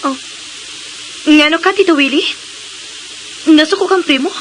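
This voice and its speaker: High-voiced, sweet young daughter. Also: female voice, a little slow, fairly bright tone. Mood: chatty, curious. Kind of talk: radio drama acting. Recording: noisy radio drama recording.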